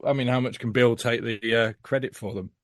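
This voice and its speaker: A man's voice: mid-range voice, fairly even intonation, brisk, no room sound, clean studio sound. A man speaking as a podcast guest in casual conversation, blunt, opinionated and sweary.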